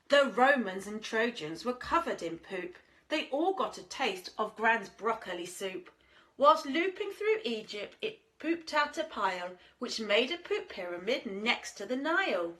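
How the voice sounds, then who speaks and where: A woman reading a children's story aloud in a dramatic, expressive way, speaking directly to young listeners. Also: on the high side. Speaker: infant school teacher reading stories to children.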